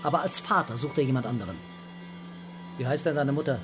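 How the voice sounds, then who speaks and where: An actor playing a middle-aged police commissioner in a radio crime drama, speaking in a dry, sarcastic, unflustered way. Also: male voice, mid-range voice, expressive, fast, music in the background, close to an everyday voice. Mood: sardonic, dismissive. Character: male police commissioner, unafraid, sardonic, stubbornly denying fatherhood.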